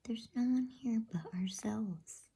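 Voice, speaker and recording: Storybook eldest brother, played by a woman: earnest, brave, polite, in a dark and creaky near-whisper. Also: on the high side, slow, voice pushed up, heavily acted. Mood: questioning. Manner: hushed, earnest.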